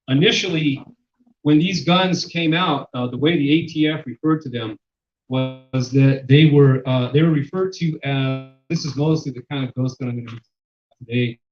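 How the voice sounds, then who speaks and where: Luide spreker, mannelijk, lezing in zaal met galm, veel "uh" en herstarts, doffe opname. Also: male voice, medium pitch, measured pace. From Mexican-American. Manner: loud, many fillers and restarts. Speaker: sociologist presenter. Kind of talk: public lecture. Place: lecture room. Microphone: handheld microphone, then none. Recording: echoey hall, dull sound.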